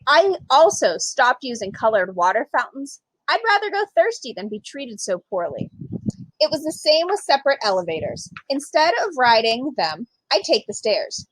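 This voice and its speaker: A woman reading a children's picture book aloud in an expressive, emphatic way with dramatic pauses. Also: high-pitched, slightly rough, no echo, poor audio. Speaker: storytime reader, literacy program manager.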